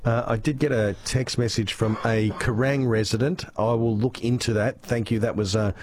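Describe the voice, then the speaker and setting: A man with an Australian accent and a gravelly voice, hosting casual radio chat and reading out listener texts. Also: medium pitch, fairly even intonation, normal speed, noisy background. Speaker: radio host.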